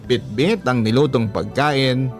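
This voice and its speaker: A man's voice: mid-range voice, a little slow, natural voice. A man with a Filipino accent reading out a young daughter's earnest life story in the style of a radio love-story program. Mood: earnest, reflective. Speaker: story narrator. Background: background music.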